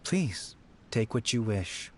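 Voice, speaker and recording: A man's voice: lowish voice, big pitch swings, slow pace, a little mellow, a little hiss or hum. A man voicing an ancient, wistful shrine ghost in a video game, speaking in a poetic, formal manner. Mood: wry, serene. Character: courtly, wistful thousand-year-old male ghost in a shrine.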